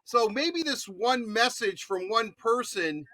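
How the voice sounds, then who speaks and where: A man's voice: extremely high pitch, lively intonation, takes it slowly, bright, forceful tone, no room sound, poor audio. An American man co-hosting a sports talk show, chatting casually with rambling asides. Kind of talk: casual chat, sports interviewing.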